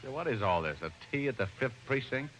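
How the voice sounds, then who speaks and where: An actor playing a suave, wisecracking American private detective, glib and teasing, in 1940s radio style. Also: male voice, mid-range voice, expressive, fairly quick, a bit bright, lightly acted. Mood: dryly teasing. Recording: noisy old-time radio recording.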